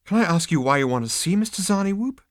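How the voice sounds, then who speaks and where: Brief: a man playing a fussy insect receptionist, shrill and snippy, a storybook creature in a flap. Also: animated, fast talker, no room sound, clean studio sound. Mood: prissy. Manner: icy, squeaky, flouncing. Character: prissy, fussy insect receptionist, petulant and easily flustered.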